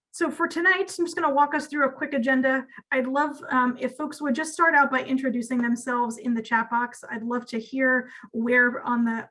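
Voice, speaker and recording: Upbeat woman presenter, fairly high voice. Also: fairly bright tone, low-quality recording. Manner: friendly and upbeat, with frequent ums. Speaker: community outreach presenter. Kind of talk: presentation to an online audience.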